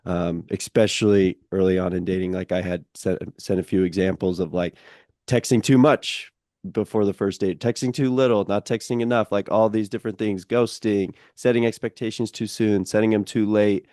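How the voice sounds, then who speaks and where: A young man hosting a relaxed interview, his voice deep and notably level, self-described as monotone. The tone is mellow and dark. It sits dry and close to the mic, with many casual fillers. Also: normal speed, no room sound. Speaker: podcast host.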